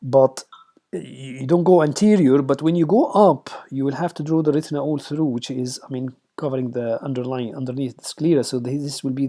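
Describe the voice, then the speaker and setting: A middle-aged man whose first language is Arabic walks through an informal lecture, stopping often on ums and uhs and restarting his sentences. His voice is mellow and relaxed, sitting at an ordinary pitch for a man. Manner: hesitant, many fillers and restarts. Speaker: radiation oncology lecturer.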